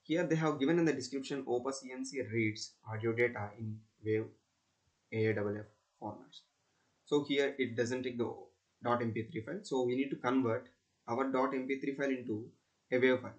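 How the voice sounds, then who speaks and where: Guy doing a screen tutorial, medium pitch, kinda halting and repeats himself, walking thru it step by step.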